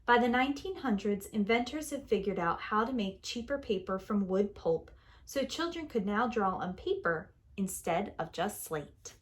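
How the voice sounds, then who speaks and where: A woman reading a picture book aloud like a preschool teacher, in a deliberate, expressive storytelling manner that leans on key words. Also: mid-range voice. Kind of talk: children's story read-aloud.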